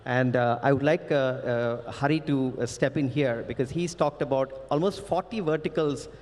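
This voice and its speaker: A male moderator with a mid-pitched voice speaks hesitantly and pauses often on fillers. Long hall reverb surrounds him. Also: darkish tone. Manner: hesitant, many fillers before handing over. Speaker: panel moderator. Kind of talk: panel discussion.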